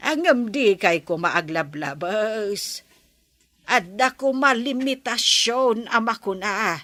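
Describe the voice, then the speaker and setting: A woman playing a mother in a comedy radio drama, speaking in a slow, advising tone. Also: mid-range voice, expressive, a bit bright, no echo. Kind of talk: Ilocano radio drama acting.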